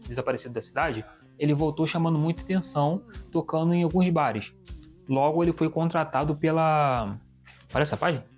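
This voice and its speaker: A man's voice: a little high, a little mellow. A man with a Brazilian Portuguese accent, casually reviewing a comic book and often restarting his sentences. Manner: chatty, restarts sentences, shows pages to camera.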